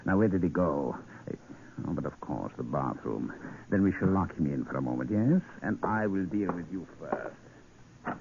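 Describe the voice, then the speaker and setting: An actor playing a menacing, mock-polite, cruel admiral in an old-time radio drama. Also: male voice, low voice, animated, vocal fry. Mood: menacing, sardonic. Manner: deliberate, mock-polite, threatening. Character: menacing, suavely cruel male German admiral. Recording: muffled, noisy vintage radio recording.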